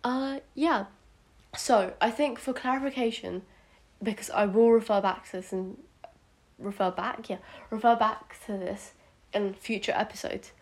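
A teenage girl with a British accent and a medium-pitched voice chats casually as a podcast host. She sounds a bit sick and sniffly. Her delivery is hesitant, rambling and self-conscious. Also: steady tone, measured pace. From United Kingdom, born in India. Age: teenager, about 14 to 15. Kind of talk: casual solo podcast chat.

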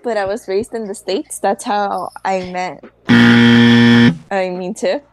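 A woman's voice: fairly low pitch, slow pace, soft background music. A teenage girl from Mexico co-hosting a podcast, chatting casually with dry sarcasm. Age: teens. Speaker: podcast co-host. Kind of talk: casual chat.

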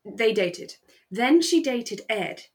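A woman with a British accent co-hosting a podcast in a relaxed, casual chatting style. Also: a little high, takes it slowly. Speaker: podcast co-host. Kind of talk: relaxed casual chat about a radio drama.

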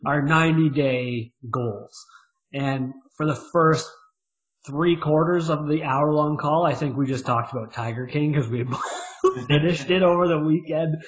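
A man's voice: a little high, a little slow. Joking man on a lo-fi podcast. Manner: joking, animated, self-mocking. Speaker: podcast co-host. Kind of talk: casual podcast chat. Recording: dull lo-fi sound.

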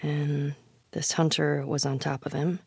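A woman's voice: low voice, flat delivery, measured pace, vocal fry, a little mellow, breathy edge, dry recording, clean studio sound, exaggerated voice. An actress playing a tough, foul-mouthed, wisecracking young woman survivor in a video game, blunt and naturalistic. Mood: casual, reflective. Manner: blunt, sweary, wry.

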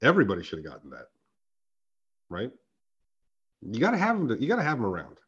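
A man with a raspy, hoarse voice, co-hosting a casual podcast chat, emphatic and quick to laugh. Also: fairly low pitch, highs cut off. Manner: animated, emphatic, swears and laughs often. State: hoarse from a sore throat. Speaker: podcast co-host.

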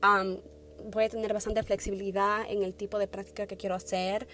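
A woman's voice: mid-range voice, level tone, brisk, a bit bright, a little hiss or hum. A young woman in her twenties talking casually on her podcast in Spanish, hesitant, full of fillers and restarts, with English words slipping in. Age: 20s. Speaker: podcast host. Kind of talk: casual personal talk.

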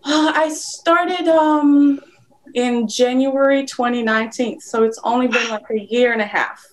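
A woman, her voice sitting a little high. She chats openly as a guest, leaning on fillers like "um" and "you know." She is heard over a video call. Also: a little slow, a little hiss or hum, poor audio. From Poland. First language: Polish. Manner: chatty and frank, with fillers and laughs. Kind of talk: casual interview chat.

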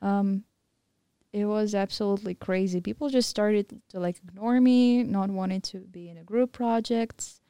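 Young woman with a gravelly, mellow voice. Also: medium pitch, fairly even intonation, normal speed, no room sound, clean studio sound. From Mongolian-German, living in Thailand. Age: young adult. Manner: candid, storytelling with many fillers. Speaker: podcast guest. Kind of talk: casual interview chat.

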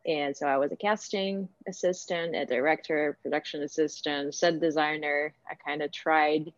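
A woman's voice: low-pitched, steady tone, normal speed. A woman from Ukraine with a Ukrainian accent, answering interview questions casually and thinking aloud as she goes. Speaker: interviewee, actor and director. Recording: echoey room, dull lo-fi sound.

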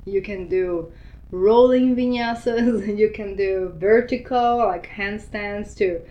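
A woman's voice: mid-range voice, slow, dark tone, dull audio. A woman born in Argentina and raised in Brazil, explaining yoga in a casual interview and laughing often. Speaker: yoga teacher.

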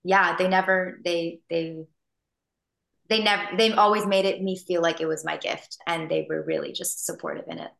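Young woman, American accent, actress guest, earnest and relaxed, gravelly tone. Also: fairly low pitch, normal speed. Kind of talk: casual interview answers.

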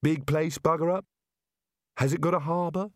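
Hapless male wizard played by a man, wary, tentative, polite, raised pitch, storybook character. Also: steady tone, normal speed, dry recording, clean studio sound, close to an everyday voice.

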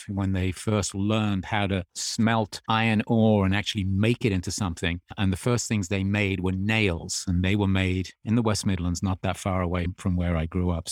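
Low-voiced, chatty man. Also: darkish tone, dry recording, studio quality. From England. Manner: chatty, rambling, laughs often, small restarts. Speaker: guest, radio DJ. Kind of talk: relaxed conversational storytelling.

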